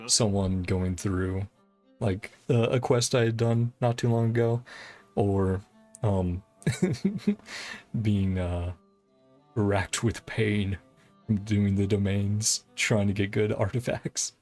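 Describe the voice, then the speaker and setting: Casual game streamer, notably deep pitch, chatty and easily amused, light game music underneath. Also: fairly even intonation, slow, long pauses, darkish tone. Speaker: livestream host playing a game. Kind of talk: casual game stream commentary, reading dialogue aloud. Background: video game music.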